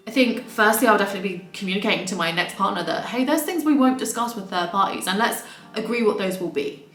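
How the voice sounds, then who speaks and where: Late-20s woman, frank vlogger, bright tone, echoey room with faint music under. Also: mid-range voice. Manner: candid, exasperated asides. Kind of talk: casual get-ready-with-me monologue.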